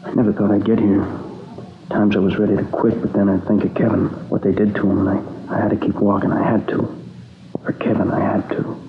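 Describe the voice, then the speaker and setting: An actor playing an exhausted, battered young crime witness in a 1950s radio drama, halting and haunted in his speech. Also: fairly low pitch, little pitch movement, gravelly. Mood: shaken, exhausted. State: drained, shaken. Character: battered, exhausted young man reporting his friend's murder. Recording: dull, noisy vintage radio recording.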